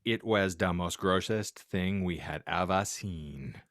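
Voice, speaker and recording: A man hosting a podcast, giving a theatrical, deliberately stumbling comic reading of fan fiction. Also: low-pitched, a bit bright, dry recording, clean studio sound. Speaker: podcast host.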